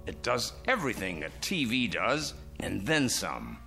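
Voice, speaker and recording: Cranky old man in a radio play, theatrical, real slow, music behind him. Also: on the low side, vocal fry, full character voice. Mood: nostalgic. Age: older. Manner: grumbling, theatrical, animated. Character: cranky, nostalgic old man, scornful of television. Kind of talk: radio drama acting.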